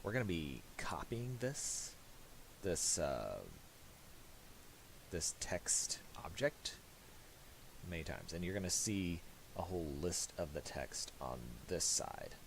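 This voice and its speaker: A man giving a casual software tutorial, speaking haltingly and restarting his phrases as he explains each step. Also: low voice, big pitch swings, gravelly, slight background noise, low-quality recording. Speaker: tutorial presenter.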